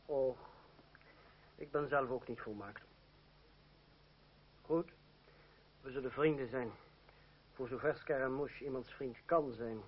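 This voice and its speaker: An actor playing a proud young fencing master, formal and ironic, in a Dutch radio play. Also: male voice, mid-range voice, takes it slowly, pauses often, natural voice. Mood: wry. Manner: formal, ironic, self-assured. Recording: dull, noisy old radio recording.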